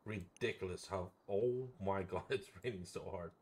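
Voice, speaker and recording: A man's voice: low voice, normal speed, a little mellow, slight background noise. A man chatting casually while streaming a game, swearing freely and repeating phrases playfully. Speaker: live streamer playing a roleplay game.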